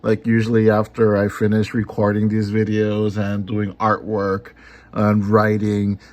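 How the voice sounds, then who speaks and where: A man talking casually in a vlog about his comics and live streams, unhurried, with many ums. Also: lowish voice, monotone, a little mellow. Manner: unhurried, lots of ums and filler. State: a little hoarse. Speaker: comic artist and YouTuber.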